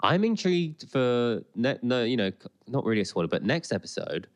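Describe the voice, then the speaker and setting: A man's voice: medium pitch, sing-song, a little fast. A man co-hosting a podcast with casual, colloquial banter about television, talking through a sore throat from a cold. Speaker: podcast co-host. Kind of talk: casual banter, TV episode commentary.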